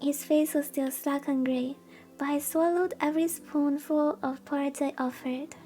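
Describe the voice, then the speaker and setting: A woman's voice: sits high, fairly even intonation, normal speed, mellow, faint music behind. A woman streamer reading a visual novel aloud in a soothing way, with cutesy, gushing chatty asides. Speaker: VTuber streamer.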